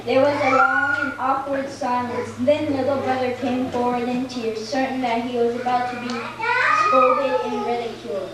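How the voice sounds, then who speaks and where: A woman narrating a scripted story aloud as a storyteller at a church puppet show, in an earnest, deliberate delivery. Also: fairly high pitch, flat delivery. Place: large echoey church hall, recorded from afar. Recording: very echoey, distant amateur recording, loud noise.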